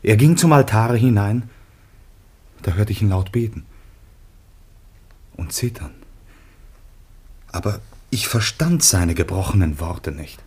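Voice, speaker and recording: Biblical son, radio drama, earnest, troubled, declamatory, deep husky tone. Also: male voice, lively intonation, takes it slowly, long pauses, darkish tone, exaggerated voice. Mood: troubled, dread. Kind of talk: classic German radio drama acting.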